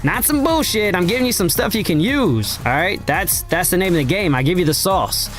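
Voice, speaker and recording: A man hosting a casual crypto trading livestream, slangy and hyped, his voice raspy and hoarse from a cold. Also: sits high, expressive, brisk, a bit bright, faint music behind. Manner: slangy, hyped, addresses chat directly. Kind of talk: casual livestream market talk.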